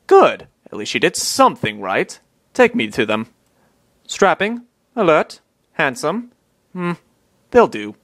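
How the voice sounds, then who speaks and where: A man's voice: on the high side, wildly swooping pitch, slow pace, pauses often, a bit bright, no echo, exaggerated voice. A man voicing a callous, imperious father in a comic dub, brusque and blustering. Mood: brusque, cold. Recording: voice over background music.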